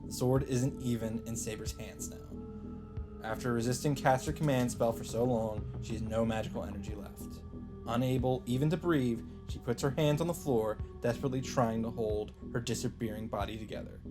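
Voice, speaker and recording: A man's voice: mid-range voice, brisk. A man reading the narration of a visual novel aloud as a let's play host. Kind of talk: story narration read aloud. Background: faint game music.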